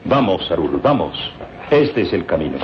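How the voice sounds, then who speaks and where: A man's voice: sits low, big pitch swings, moderate pace, heavy background noise. A man playing an earnest, learned professor exploring tombs in a radio serial, with a formal, emphatic, theatrical delivery. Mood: eager. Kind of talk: old radio serial acting. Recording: muffled, echoey old radio, distant.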